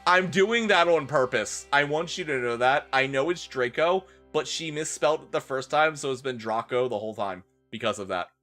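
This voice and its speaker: A man with a bright, forceful voice reads fan fiction theatrically for a live stream. He often slips out of the story into amused comments, with music playing behind him. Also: mid-range voice, lively intonation, normal speed, few pauses. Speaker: streamer doing a dramatic reading.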